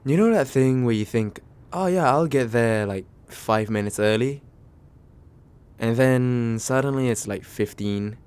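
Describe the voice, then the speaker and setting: A man playing a nervous, kind guy on a second date, speaking haltingly and reassuringly in an ASMR comfort roleplay. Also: mid-range voice, smooth, close to an everyday voice. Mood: shy, pleased. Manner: hesitant, soft-hearted, self-deprecating, halting with small pauses. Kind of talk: ASMR comfort roleplay, acted as casual chat.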